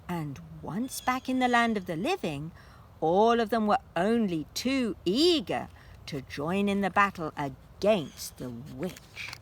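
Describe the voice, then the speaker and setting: Animated woman reading a children's story. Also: mid-range voice, a little hiss or hum. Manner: dramatic, deliberate phrasing. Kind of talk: expressive children's read-aloud narration.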